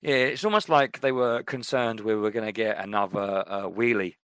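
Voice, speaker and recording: Low-voiced enthusiastic podcast man. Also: moderate pace, fairly bright tone, clear, resonant tone, dry recording, studio quality. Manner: enthusiastic, repeats himself for emphasis. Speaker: podcast co-host. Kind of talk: casual film discussion.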